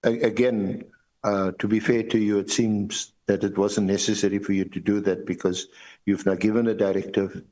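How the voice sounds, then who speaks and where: A man with a fairly low voice and a South African accent puts formal questions at a hearing. He is deferential in a lawyerly way, often repeating words as he works toward each point. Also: level tone, a little mellow, poor audio. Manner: halting, repetitive phrasing with lawyerly deference. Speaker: evidence leader questioning a witness.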